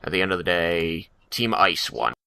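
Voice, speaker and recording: Guy hosting a podcast, jokey and sarcastic, bright punchy voice, just shooting the breeze with friends. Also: low-pitched, expressive, normal speed, creaky, smooth. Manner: joking, self-mocking, sarcastic. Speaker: podcast host. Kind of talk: casual banter among friends.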